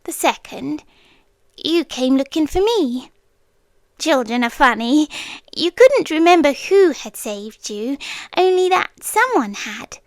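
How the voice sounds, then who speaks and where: Woman acting a hushed, high-voiced, inhuman British girlfriend. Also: sing-song, takes it slowly, no room sound, exaggerated voice. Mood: wistful, nostalgic. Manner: soft, hushed, often slipping into whispers. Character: inhuman yandere childhood friend, female forest being, tender, possessive. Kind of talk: intimate ASMR roleplay monologue.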